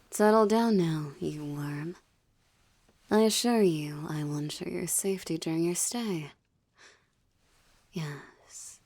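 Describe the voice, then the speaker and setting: Woman playing a low, slow, seductive succubus demoness. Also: mellow. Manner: teasing, haughty, theatrical, often dropping into breathy whispers. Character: seductive, gloating succubus demoness toying with a captive. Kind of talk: intimate roleplay audio drama monologue.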